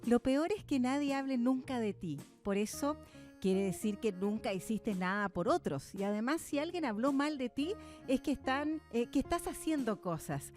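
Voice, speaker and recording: TV co-host: woman, slightly high pitch, conversational interviewing. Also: lively intonation, moderate pace, darkish tone, faint music behind.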